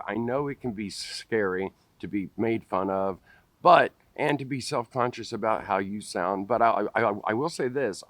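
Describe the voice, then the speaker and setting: A man's voice: fairly low pitch, no room sound. A man in his forties with a stutter, talking straight to camera outdoors in a park, repeating first sounds and restarting words. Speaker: vlogger. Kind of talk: direct-to-camera talk. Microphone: camera close up at face level.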